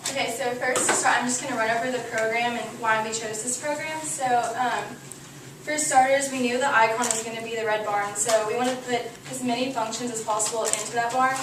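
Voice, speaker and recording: Young texas woman rambling through her project slides, flat delivery, far off in an echoey hall with noise. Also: on the high side, brisk. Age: young adult. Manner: loose and conversational, many fillers. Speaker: student architect presenting. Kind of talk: class presentation. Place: echoey presentation room. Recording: echoey room from far off, noisy.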